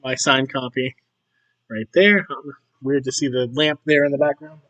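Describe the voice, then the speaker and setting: Hoarse man rambling over gameplay. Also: medium pitch, steady tone, moderate pace, fairly bright tone, lo-fi sound. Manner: rambling, chatty, frequent throat clearing. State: losing his voice, hoarse. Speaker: gaming YouTuber. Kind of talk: casual commentary over gameplay.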